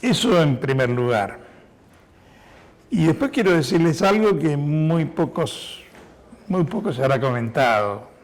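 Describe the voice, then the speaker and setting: Hoarse older man, slow and measured. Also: a little high, animated. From Spain. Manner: measured, explanatory, with many hesitations. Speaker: war veteran giving testimony. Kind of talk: testimony to an audience.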